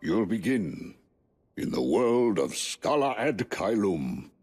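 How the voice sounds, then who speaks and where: An actor playing a wise, solemn elder master in a video game cutscene, speaking in a measured, commanding way. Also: male voice, on the low side, sing-song, darkish tone, full character voice. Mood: solemn approval. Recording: game dialogue over music.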